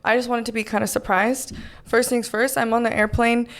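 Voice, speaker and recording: A woman's voice: on the high side, flat delivery, brisk. A woman on a podcast, telling a travel story in a chatty, upbeat way. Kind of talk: casual storytelling.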